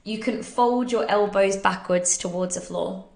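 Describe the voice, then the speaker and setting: A woman's voice: medium pitch, measured pace, creaky. Chatty bunged-up English woman coach. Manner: chatty and upbeat, laughs often. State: bunged up from hay fever. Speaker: fitness coach and podcast host. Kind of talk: casual live Q&A, talking through exercise technique.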